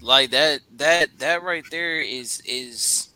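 A man's voice: mid-range voice, slow, a loud crowd. A man co-hosting a casual group podcast, laid back and peppering his talk with "bro". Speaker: podcast co-host.

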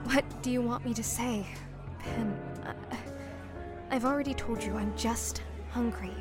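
A woman's voice: fairly high pitch, measured pace, over music, close to an everyday voice. A woman playing a guarded, evasive young mermaid in an audio drama, hesitant and defensive. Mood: hesitant, anxious. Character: guarded young female man-eating mermaid, evasive, prickly. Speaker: voice actor.

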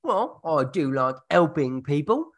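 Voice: mid-range voice, very animated, unhurried. A performer voicing a cheeky, blunt young Dickensian street urchin with a Cockney British accent in a radio drama, full of slang. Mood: blunt, matter-of-fact.

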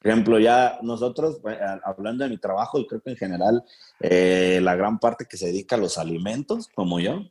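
A Mexican man speaking Spanish with a Mexican accent as a podcast guest, relaxed and full of casual slang. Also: fairly low pitch, a little fast. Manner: relaxed, slangy and good-humored.

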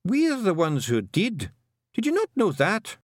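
Guy doing a wee scottish pixie warrior, cheery and scrappy, high swoopy voice, audiobook style. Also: huge pitch swings, measured pace, no room sound, clean studio sound, exaggerated voice. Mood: hearty. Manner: hearty, cheerful, folksy.

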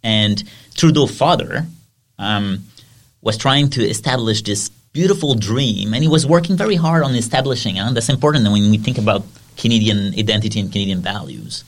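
A man's voice: lowish voice, expressive, normal speed. A man with a French accent, hosting a podcast interview and asking long, winding questions. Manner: asks long rambling questions. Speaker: podcast host. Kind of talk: conversational interview.

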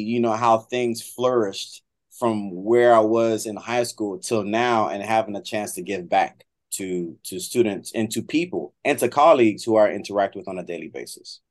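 A middle-aged man with an American accent talking conversationally as an interview guest, often repeating and rephrasing as he goes. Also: mid-range voice. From Miami, United States. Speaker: guest, trombone professor.

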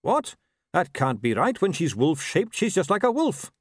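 An earnest, good-natured young man, acted by a male narrator in a storybook reading. He speaks politely and straightforwardly, pitched up with lively movement. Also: normal speed, dry recording, clean studio sound. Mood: earnest, puzzled.

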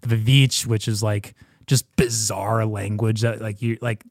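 A man's voice: on the low side, little pitch movement, unhurried, no room sound, studio quality. A man chatting casually on a podcast in a candid, self-mocking way with plenty of swearing.

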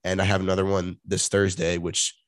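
A congested man co-hosting a sports podcast, speaking in a monotonous tone. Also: low voice, a little fast, no room sound, clean studio sound. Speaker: podcast co-host. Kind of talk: casual sports podcast chat.

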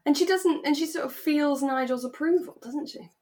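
A woman co-hosting a podcast, chatting in a relaxed, conversational way as if checking in with a friend. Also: high voice, normal speed. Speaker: podcast co-host. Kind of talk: relaxed casual chat recapping a radio drama.

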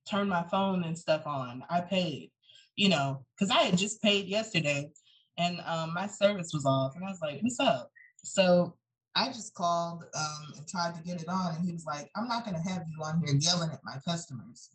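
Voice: mid-range voice, expressive, normal speed, low-quality recording. A young adult student panelist telling a story casually and rambling while searching for words.

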